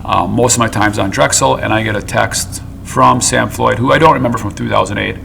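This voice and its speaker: A man's voice: fairly low pitch, steady tone, fairly quick, gravelly, bright, forceful tone, slight background noise. A man hosting a podcast in casual chat, rambling playfully and prompting his guests to tell their stories. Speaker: podcast host.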